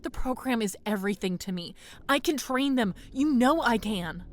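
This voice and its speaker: A woman's voice: a little high, lively intonation, moderate pace, soft background music, close to an everyday voice. A woman playing a proud dragon warrior captain and young mother in an audio drama, defiant, earnest and resolute. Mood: proud pleading.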